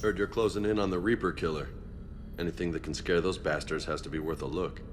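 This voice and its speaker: An actor playing a brash, protective marine in a video game, casual, blunt and slangy. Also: male voice, low-pitched, steady tone, fast, noisy background, natural voice. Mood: casual, wary.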